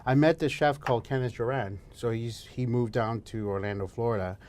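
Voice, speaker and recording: A man's voice: mid-range voice, fairly even intonation, fairly quick, a little mellow. A middle-aged man from El Salvador, a guest chef talking casually in an interview, chatty and prone to restarting his sentences. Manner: chatty, frequent restarts and fillers.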